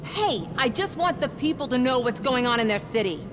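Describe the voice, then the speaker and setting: Casting: pushy newswoman in a game, high voice, direct, set in long reverb. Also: expressive, a little fast, noisy background. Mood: insistent. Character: persistent, bold female news reporter.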